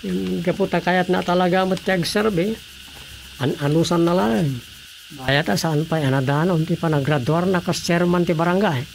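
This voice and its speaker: A man's voice: sits high, normal speed, vocal fry, a little hiss or hum. A man playing a weary, dutiful grown son in a Filipino village radio drama, conversational and matter-of-fact, with an Ilocano accent.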